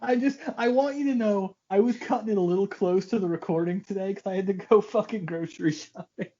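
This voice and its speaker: A man's voice: high-pitched, a little fast, mellow, muffled recording. Sweary man ranting on a podcast. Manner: sweary, mock-aggrieved ranting. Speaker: podcast co-host. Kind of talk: casual fan podcast chat.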